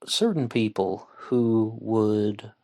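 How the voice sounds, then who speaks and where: A man hosting a true crime podcast, talking through a case in an earnest, measured way with frequent pauses and fillers. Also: on the low side, mellow. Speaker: podcast host.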